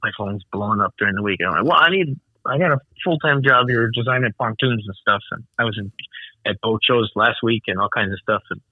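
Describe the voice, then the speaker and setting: A man's voice: lowish voice, a little fast, no room sound, phone-quality sound. A man who organizes races, chatting casually on a call with many restarts and fillers. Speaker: snowmobile race organizer. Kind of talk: casual phone conversation.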